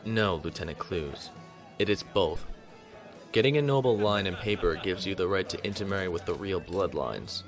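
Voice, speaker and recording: Low-voiced, composed young naval officer. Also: male voice, moderate pace, soft background music. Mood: composed, dry. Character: composed young noble naval lieutenant, coolly defiant. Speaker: voice actor in audio drama. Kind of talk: science fiction audio drama acting.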